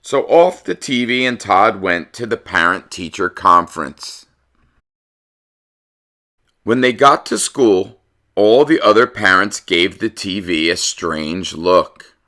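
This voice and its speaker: Animated, bright-voiced man reading a storybook. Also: low voice, slow. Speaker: read-aloud host. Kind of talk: expressive children's picture book narration.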